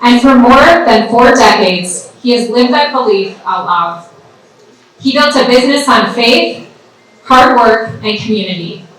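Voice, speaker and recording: A woman's voice: fairly high pitch, lively intonation, unhurried, fairly bright tone. A woman giving an earnest speech about her father, read from notes. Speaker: candidate's daughter giving a speech. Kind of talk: prepared speech read from paper. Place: large indoor event hall. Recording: echoey hall, mic from far off.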